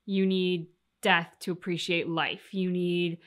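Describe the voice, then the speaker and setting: A woman's voice: lowish voice, little pitch movement, slow. A woman co-hosting a reaction show, talking casually and reflectively about a game's story, explaining her thoughts at length. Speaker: reaction channel co-host. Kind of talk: casual discussion of a video game story.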